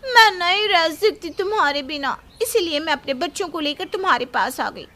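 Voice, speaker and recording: A woman voicing a lovestruck young mother and humble new bride with a pleading, dramatic manner in an animated melodrama. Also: very high voice, moderate pace, pitched above the performer's own voice, full character voice. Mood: tearful, lovestruck. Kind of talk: melodramatic animated story acting.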